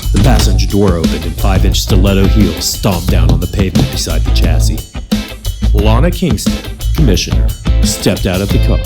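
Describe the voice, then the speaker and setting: A man narrating a scripted comedy audio drama in a wry, crude manner. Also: on the low side. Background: loud music with guitar.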